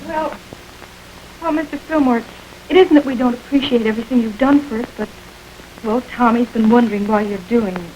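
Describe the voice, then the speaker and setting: A woman's voice: fairly high pitch, fairly quick, long pauses, mellow, muffled recording, slight background noise, lightly acted. An actress playing a trusting young woman about to be married, earnest and hopeful, in a 1930s crime film. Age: young adult.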